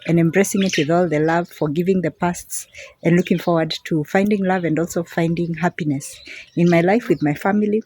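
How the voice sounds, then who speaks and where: A woman's voice: fairly low pitch, darkish tone, slightly rough. A middle-aged Kenyan woman with a Kenyan accent, chatting casually to her phone camera as she walks outdoors, often repeating words for emphasis. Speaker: vlogger. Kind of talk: casual vlog to camera while walking. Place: outdoors, walking in a quiet semi-rural area. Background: birds and crickets.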